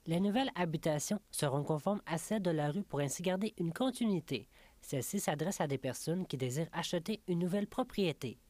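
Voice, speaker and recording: A woman reporter with a lowish voice who reads a news segment steadily and formally. The sound is dry and close to the mic. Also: expressive, fairly quick, darkish tone, no echo.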